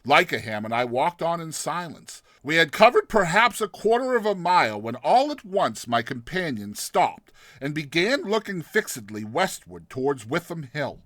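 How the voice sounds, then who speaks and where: Male narrator, higher-pitched and very varied in tone, theatrical delivery of classic fiction, dry close recording. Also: normal speed, hardly any pauses, no echo. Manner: theatrical, expressive storytelling. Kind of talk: dramatized literary short story reading.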